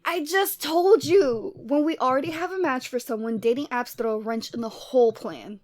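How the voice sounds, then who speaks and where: Woman voicing a female Cupid, high voice, dry close sound, conversational, expressive, lovestruck best friend, roleplay audio. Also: moderate pace, dry recording, close to an everyday voice. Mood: upset, exasperated. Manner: conversational, teasing, emotionally expressive. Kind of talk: ASMR roleplay audio drama, addressing the listener.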